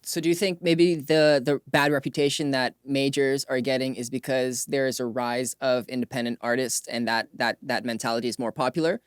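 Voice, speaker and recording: A man's voice: medium pitch, steady tone, brisk, hardly any pauses, smooth, no echo, studio quality. A man asking conversational questions as an interviewer.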